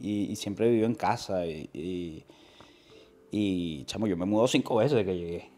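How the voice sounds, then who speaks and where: A man's voice: low voice, moderate pace, mellow. Young Venezuelan man telling stories. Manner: slangy, storytelling with quoted voices, frequent restarts. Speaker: guest. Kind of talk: casual interview. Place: living room, on a sofa. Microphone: lapel mic.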